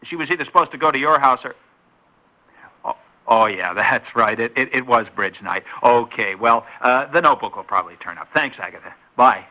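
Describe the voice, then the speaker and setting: A suspicious suburban husband, played by a man, who blusters and quickly loses his temper. His voice sits in the middle range. The recording has the dull, muffled sound of old-time radio. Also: mid-range voice, animated, close to an everyday voice. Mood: determined. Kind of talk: 1950s radio drama acting.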